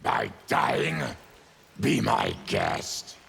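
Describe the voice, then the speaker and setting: An actor voicing a fierce, vengeful mutant warrior in a video game, with a gravelly growl and a menacing, deliberate delivery. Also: male voice, sits low, huge pitch swings, a bit bright, exaggerated voice. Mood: bitter, threatening. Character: fierce diseased mutant Tarkatan warrior, ex-soldier, vengeful and proud. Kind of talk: video game character dialogue.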